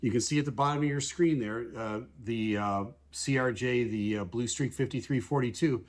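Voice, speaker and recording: Man, aviation analyst, explanatory commentary, measured, plain-spoken, medium pitch, everyday wording. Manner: measured, plain-spoken, paraphrases radio calls in everyday words. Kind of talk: explanatory commentary over air traffic recordings.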